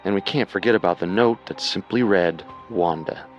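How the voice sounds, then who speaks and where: Male true-crime co-host, lowish pitch, serious and measured scripted read over faint music. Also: dull audio.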